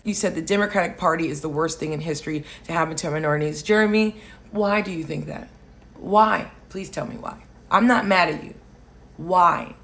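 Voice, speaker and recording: A woman from Alaska, raised in Hawaii, hosting political commentary, talking loudly and emphatically and answering viewer comments. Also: mid-range voice. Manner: loud, emphatic, rising to near shouting on key points.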